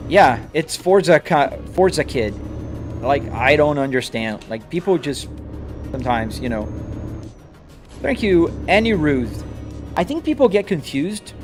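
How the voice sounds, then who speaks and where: A man from Brazil, his accent a blend of Brazilian and American, with a slightly high voice, casually answering a live chat from a home driving rig. He talks loosely and restarts his sentences as comments roll in. Soft music hums behind him. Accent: Brazilian Portuguese mixed with American English. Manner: chatty, answers viewer comments on the fly, frequent restarts. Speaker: live streamer.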